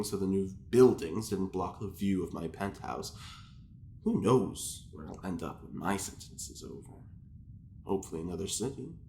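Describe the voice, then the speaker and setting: Haughty gossiping male prisoner: unhurried, low and creaky, theatrical, in an echoing room. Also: exaggerated voice. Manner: affected, leisurely, theatrical, fussy. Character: prim, self-important male prisoner, scheming gossip feigning innocence. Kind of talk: audio drama diary monologue. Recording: roomy echo with faint background noise.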